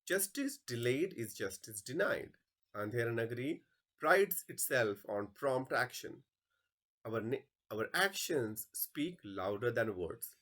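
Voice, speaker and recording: A man's voice: medium pitch, lively intonation, slow, fairly bright tone. A man playing an imperious, impatient king in a play reading, pompous and commanding. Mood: smug, decisive.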